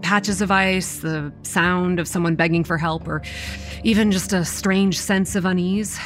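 A woman's voice: fairly low pitch, steady tone, a little slow, faint music behind, lightly acted. A young woman acting a wry, weary campground manager narrating a horror audio drama in a dry, exasperated way. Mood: wary, stern. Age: young adult. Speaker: narrator and lead character.

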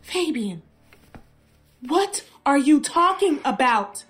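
A vulnerable, confused young woman for an old-time style horror radio drama. Her voice is fairly high and frightened, halting slowly over her words. Music plays faintly behind her. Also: very animated, a bit bright, exaggerated voice. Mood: confused, anxious. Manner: hesitant, stammering.

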